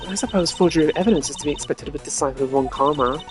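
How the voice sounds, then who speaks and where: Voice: medium pitch, very fast, faint music behind, lightly acted. A streamer voicing a smug, mocking young woman suspect from a video game, teasing, dismissive and theatrical. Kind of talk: video game character voice acting.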